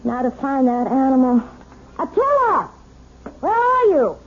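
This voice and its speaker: An actress playing an outwardly sweet, secretly vengeful housewife in a 1970s radio drama, with a fluttery, coaxing manner. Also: female voice, high-pitched, sing-song, unhurried, heavily acted. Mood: searching, cooing. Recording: muffled, noisy old radio recording.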